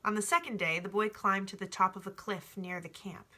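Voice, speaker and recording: A woman's voice: medium pitch, steady tone, fairly quick, bright, forceful tone. A woman reading a novel aloud as storybook narration, in an informal way. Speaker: audiobook reader.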